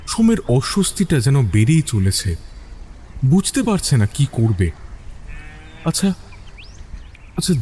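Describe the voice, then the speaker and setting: A man narrating a scripted suspense story with deliberate phrasing and dramatic pauses. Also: mid-range voice, warm tone, slight background noise.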